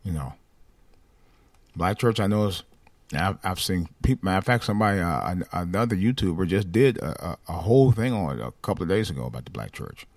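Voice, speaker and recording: A man talking casually about his own life, rambling and restarting phrases, with a light voice that has little bass in it. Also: big pitch swings, a little fast, dry recording. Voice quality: light voice without much bass. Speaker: podcast host. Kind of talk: casual personal monologue.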